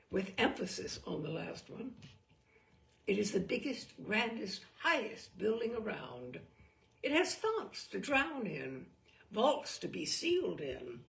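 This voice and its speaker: A woman reading a humorous book aloud as a storyteller, in a deliberate, theatrical and wry manner. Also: mid-range voice, vocal fry. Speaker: reader of humorous book selections. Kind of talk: dramatic storytelling read-aloud. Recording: distant microphone.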